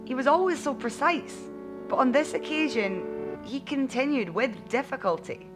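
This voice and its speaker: A woman narrating a scripted bedtime story in an expressive audiobook style. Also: high voice, normal speed, over music.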